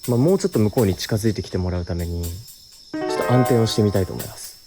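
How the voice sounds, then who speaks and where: Man, lowish voice, fast talker, animated intonation, casual exploration host, very noisy outdoor night recording with insects. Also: over loud music. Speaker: host of a ghost-hunting video, leads the exploration. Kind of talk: casual on-location narration and chat. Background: loud crickets, insects, clicking.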